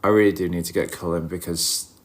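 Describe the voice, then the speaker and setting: Guy with a lowish voice, bit bunged up with a cold, nasal, casual livestream chat, mumbles to himself. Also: fairly even intonation, a little fast. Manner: informal, muttering asides to himself. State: has a head cold. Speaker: livestream host. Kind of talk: casual livestream chat, greeting viewers while looking over fish tank.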